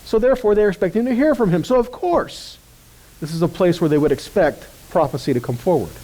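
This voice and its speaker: A man's voice: high-pitched, expressive, fairly quick, dark tone, rough recording. A man giving an informal scripture teaching in a conversational way, often checking in with listeners. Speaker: ministry teacher.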